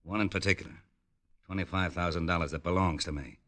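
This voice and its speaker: Menacing crook, deep sharp voice, cool tough-guy slang, old radio crime drama. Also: steady tone, fast talker. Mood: cool menace. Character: menacing, smug male gunman crook. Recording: vintage radio drama recording.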